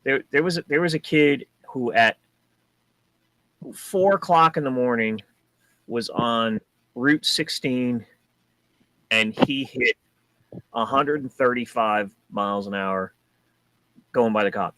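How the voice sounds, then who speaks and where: A man from New Hampshire co-hosting a casual car talk show, rambling and animated with many restarts. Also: mid-range voice, slow, pauses often, no echo, low-quality recording. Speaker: co-host. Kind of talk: casual chat.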